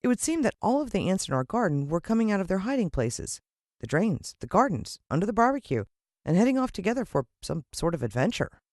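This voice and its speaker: A woman with an American accent reading a children's story expressively, with dramatic emphasis and deliberate pauses. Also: medium pitch, big pitch swings, a little mellow, no room sound, clean studio sound. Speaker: audiobook narrator.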